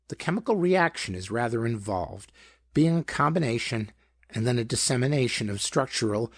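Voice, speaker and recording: A fussy, pedantic gentleman sleuth played by a male narrator from a pulp audiobook. He speaks in formal, precise phrases with swooping pitch and a creaky edge, eager and pompous. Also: no echo, clean studio sound, exaggerated voice.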